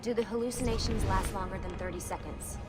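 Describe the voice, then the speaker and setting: A woman's voice: mid-range voice, fast talker, loud music behind the voice, natural voice. A woman playing a serious, determined young team leader in a video game, matter-of-fact and guarded. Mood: brisk, instructing. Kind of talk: video game cutscene dialogue. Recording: clear background ambience noise.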